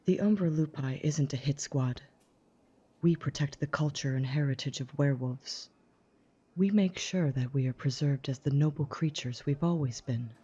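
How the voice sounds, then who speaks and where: A woman playing a young, haughty werewolf family enforcer in an audio drama, cold, sneering and aggressive, with a dark husky voice on a dull recording. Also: low-pitched, normal speed. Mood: haughty scolding. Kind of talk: scripted audio drama acting. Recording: dull, muffled lo-fi microphone.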